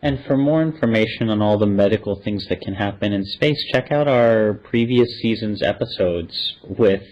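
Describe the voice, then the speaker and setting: Lowish-voiced male host, dull audio. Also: moderate pace, few pauses. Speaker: podcast host. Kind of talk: conversational hosting.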